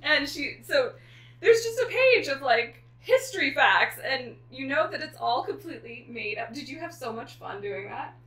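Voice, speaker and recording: Lively, bright woman host in an echoey room. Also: high voice, normal speed. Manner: enthusiastic, gushing, giggly. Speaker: host interviewing an author. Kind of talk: casual chat.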